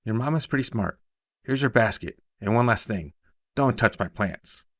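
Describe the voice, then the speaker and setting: A man's voice: on the low side, animated, normal speed, a bit bright, no echo, clean studio sound, voice pushed up. A man voicing a reclusive, wary middle-aged woman with a silky, guarded, terse way of speaking in a short story. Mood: guarded, softening.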